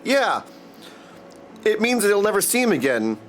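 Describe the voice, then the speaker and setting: A man's voice: high-pitched, big pitch swings, moderate pace, fairly bright tone, slight background noise. A man chatting casually and goofily with viewers in slangy language while livestreaming a game. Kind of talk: casual livestream chat over gameplay. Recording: music behind the voice. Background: game music.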